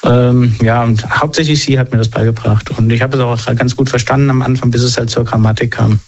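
Flat-voiced man on a dull lo-fi line. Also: medium pitch. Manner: matter-of-fact, pauses to search for words. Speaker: interview guest. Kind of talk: casual conversation. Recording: dull lo-fi recording.

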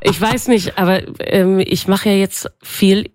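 A woman's voice: mid-range voice, steady tone, measured pace, darkish tone, dry recording, clean studio sound. Chatty self-mocking woman in her forties. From East Frisia, northern Germany. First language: Low German. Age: 40s. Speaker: guest. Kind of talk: casual radio chat.

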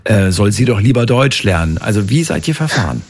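A man's voice: on the low side, sing-song, a little fast, husky. German man asking probing interview questions. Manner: probing, asks long questions. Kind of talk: conversational interview.

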